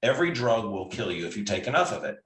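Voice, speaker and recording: A man's voice: lowish voice, big pitch swings, fast, fairly bright tone. A man in his sixties, a physician guest, explaining things step by step in a casual, conversational way with frequent restarts. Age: 60s. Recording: roomy and distant from mic.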